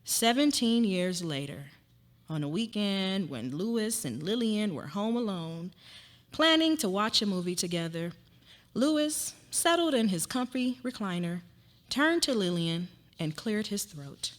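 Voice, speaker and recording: A woman's voice: medium pitch, lively intonation, measured pace. A woman narrating a story aloud in a dramatic reading.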